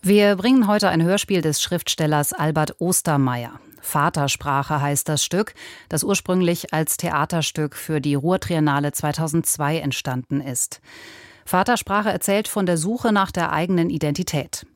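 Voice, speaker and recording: A woman's voice: sits low, moderate pace, dry recording, studio quality. A woman announcer reading a scripted broadcast introduction. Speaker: radio announcer.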